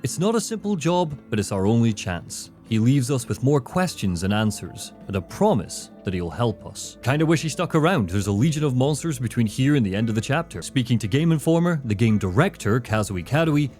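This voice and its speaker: A man narrating a scripted video essay as its host, with dramatic, deliberate phrasing. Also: medium pitch, very animated, darkish tone. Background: faint background music.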